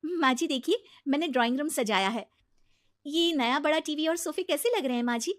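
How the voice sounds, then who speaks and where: A woman's voice: high-pitched, lively intonation, a little fast, a bit bright, dry recording, clean studio sound, voice pushed up. A woman voicing an earnest, dutiful young daughter-in-law in a story, with a polite, pleading manner. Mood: eager, polite. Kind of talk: animated Hindi moral story dialogue.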